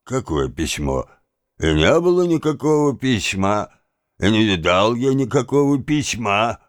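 A man's voice: fairly low pitch, sing-song, a little slow, no room sound, clean studio sound. A man voicing a tipsy, insolent manservant in an audiobook, drawling and repeating himself in an obstinate, evasive way. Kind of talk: dramatised audiobook of a classic novel.

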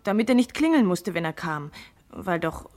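Low-voiced, nervous young housemaid. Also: female voice, very animated, moderate pace, a little mellow. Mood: nervous, evasive. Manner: hesitant, defensive. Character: young newlywed former housemaid, meek, evasive, nervous. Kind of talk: German radio crime play acting.

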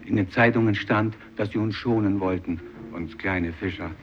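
Actor as a low-voiced poor fisherman, muffled recording. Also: normal speed, lightly acted. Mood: resigned. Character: plain, poor fisherman recounting a disaster. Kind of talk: radio drama narration in character. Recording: dull, noisy radio recording.

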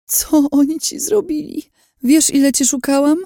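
A woman's voice: lowish voice, animated, a little slow, dark tone, no echo, studio quality, pitched above the performer's own voice. Woman acting an earnest, anxious young heroine. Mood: tearful. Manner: urgent, emotional. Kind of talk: dramatised audiobook narration.

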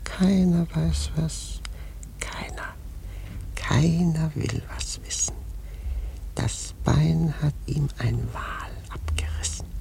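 An actor playing a ragged, half-mad sailor prophet in a radio play, raspy and creaky, taunting and cryptic. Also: male voice, on the high side, takes it slowly, dark tone, whispered. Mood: bitter, agitated. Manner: taunting, cryptic, erratic muttering. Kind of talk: German radio play acting.

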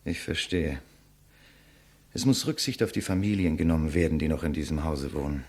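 A man's voice: a bass voice, normal speed, darkish tone. An actor playing a proud, defiant writer facing his executioner, eloquent and sardonic, in the style of a 1950s German radio play. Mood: scornful, composed.

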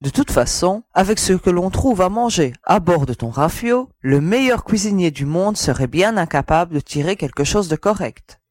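A young spacewoman in a sci-fi audio drama, played by an actress, with a fairly low voice and a very dry, close sound. She is measured and firm, protective of her crew and careful by nature. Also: expressive, darkish tone, dry recording. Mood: teasing.

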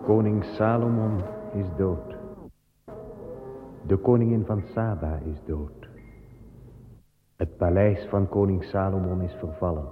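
A man's voice: deep voice, fairly even intonation. Trage, zeer lage vertellersstem van een man. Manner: grave, measured. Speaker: narrator. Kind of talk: scripted radio drama narration. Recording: dull old recording with long reverb and soft music.

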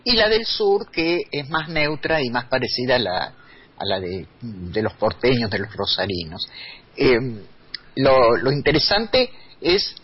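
A man's voice: medium pitch, very animated, unhurried, breathy edge. A man, speaking as a radio guest and explaining in a lecturing way with many restarts. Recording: dull lo-fi radio recording.

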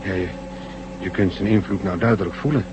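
A man's voice: low-pitched, fairly even intonation, fairly quick, natural voice. A man playing a cautious, worried spaceship doctor in a radio drama, speaking hesitantly. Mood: uneasy. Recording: muffled, very noisy old radio play.

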